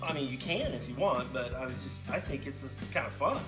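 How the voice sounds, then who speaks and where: A man speaking casually and a little haltingly as a sidekick on a children's puppet show, making up stories. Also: medium pitch, animated. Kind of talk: casual improvised storytelling for kids. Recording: roomy echo, faint music behind.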